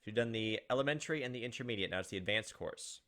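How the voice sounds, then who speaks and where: A woman's voice: a bass voice, lively intonation, fast talker, fairly bright tone. A woman reading a visual novel aloud as gameplay host, with wry, sardonic casual commentary. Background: game music.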